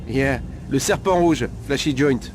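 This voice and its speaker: An actor playing a sleazy, nervous Paris street informant in a video game cutscene, talking in a halting, insinuating way. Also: male voice, medium pitch, a little hiss or hum, lightly acted. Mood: guarded.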